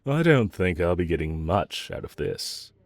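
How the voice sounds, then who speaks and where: A man's voice: deep voice, very animated, moderate pace, no room sound, exaggerated voice. A man playing a cocky, flirtatious, unrepentant villain in an audio roleplay, teasing and mocking the listener with sarcasm. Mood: sarcastic, dismissive. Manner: teasing, sarcastic drawl with chuckles.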